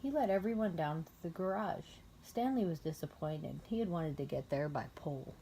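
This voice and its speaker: A woman reading a children's story aloud, pausing to explain things simply and patiently to a young child. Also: low voice, warm tone, a little hiss or hum, low-quality recording. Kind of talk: read-aloud story narration with casual asides to a child.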